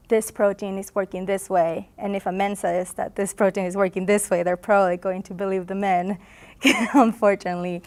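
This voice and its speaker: A woman's voice: medium pitch, vocal fry, darkish tone. Honduran woman, earnest and laughing. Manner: earnest, laughs often, frequent fillers. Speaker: graduate student. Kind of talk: recorded interview answers. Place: conference booth.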